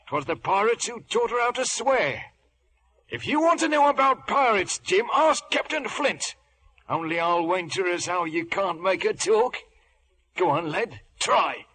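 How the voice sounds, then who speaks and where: An actor playing a wily, jovial one-legged sailor turned inn cook in a radio adventure, with a gravelly voice and folksy, ingratiating nautical talk. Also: male voice, sits high, big pitch swings, measured pace, sharp tone, exaggerated voice, low-quality recording. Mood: sly, coaxing. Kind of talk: old-time radio adventure acting.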